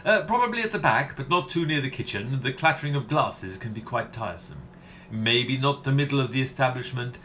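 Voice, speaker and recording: A man playing a pompous gentleman aesthete in a radio comedy. He declaims floridly and fussily, swept up in romance, with animated intonation and faint noise behind the voice. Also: medium pitch. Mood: fussy. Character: pompous, flowery, romantic gentleman customer, fussy poetry lover. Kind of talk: comedy radio play acting.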